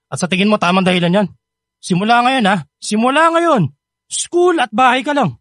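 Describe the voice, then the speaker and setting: A man's voice: high-pitched, lively intonation, moderate pace, fairly bright tone, no echo, clean studio sound. A man with a Filipino accent acting a stern, scolding father with a short temper in a radio drama. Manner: scolding, exasperated, domineering. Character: stern, hot-tempered, overworked father of a teenage son. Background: background music.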